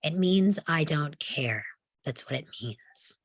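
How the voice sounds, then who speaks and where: A woman's voice: low-pitched, animated, slow pace, exaggerated voice. A woman playing a defiant, sardonic young psychiatric patient in a screenplay table read, with a guarded, dry, challenging delivery.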